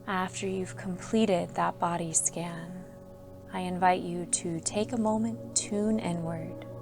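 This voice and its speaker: Woman, yoga instructor, guided sleep meditation, soothing and soft, gravelly fry, gentle music behind. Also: on the low side. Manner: soft, slow, soothing instructions with calm pauses. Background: soft background music.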